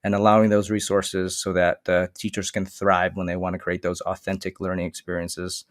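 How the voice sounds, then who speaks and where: A man whose first language is Vietnamese, a guest on a casual podcast interview, explaining his work in an earnest, steady way. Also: low voice, moderate pace, no room sound, clean studio sound. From Michigan, USA. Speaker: guest, STEM consultant.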